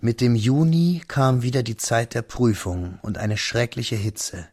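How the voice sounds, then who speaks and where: A man playing a reflective young man who narrates his melancholy past in measured, literary radio drama style. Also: medium pitch, animated, darkish tone, slightly rough. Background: music.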